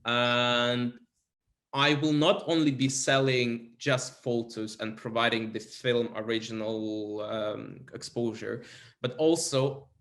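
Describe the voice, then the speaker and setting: A man from Kazakhstan who speaks Russian and Kazakh natively answers casual interview questions. His phrasing is hesitant and halting, at a middle pitch, and the room puts a clear echo on his voice. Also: steady tone, a bit bright. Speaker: interview guest, film photographer. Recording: roomy echo.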